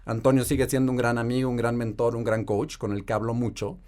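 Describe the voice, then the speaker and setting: A Mexican man, probably in his thirties, a private equity investor telling his career story in a measured, articulate way. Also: medium pitch, flat delivery, darkish tone. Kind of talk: podcast interview storytelling.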